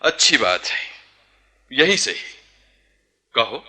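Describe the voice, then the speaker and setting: An actor playing a proud, embattled young nawab in a theatrical radio drama, with a regal, rhetorical and sardonic way of speaking. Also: male voice, mid-range voice, big pitch swings, takes it slowly, pushed and bright, full character voice. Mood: probing, wry. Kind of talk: theatrical Hindi radio-play acting.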